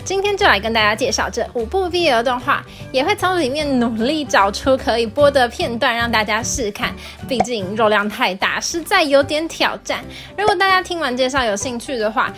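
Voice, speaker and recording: A woman's voice: a little high, a bit bright. A woman narrating a scripted review as the host of a video.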